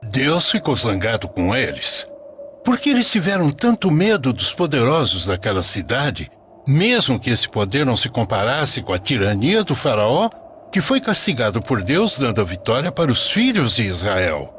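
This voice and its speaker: Male narrator with lively, swooping intonation. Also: mid-range voice, moderate pace, slight background noise. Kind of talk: scripted religious storytelling narration for an animated film.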